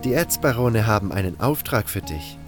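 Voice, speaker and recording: An actor playing a cold, condescending baron who gives orders in a fantasy audio drama, with music underneath. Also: male voice, lowish voice, big pitch swings, moderate pace. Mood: cool, calculating. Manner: condescending, scornful. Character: cold, haughty male baron giving orders.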